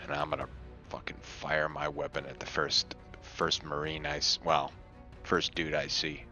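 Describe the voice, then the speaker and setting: Male player, extremely deep voice, relaxed and hesitant, music clearly behind. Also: moderate pace. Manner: laid-back, hesitant, swears casually. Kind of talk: casual tabletop game chat.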